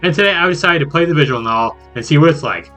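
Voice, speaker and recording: Animated, bright-voiced man reviewing games. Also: on the high side, racing. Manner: playful, exaggerated jokey reactions and asides. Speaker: video game reviewer, channel host. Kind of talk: scripted comedic video review narration. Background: background music.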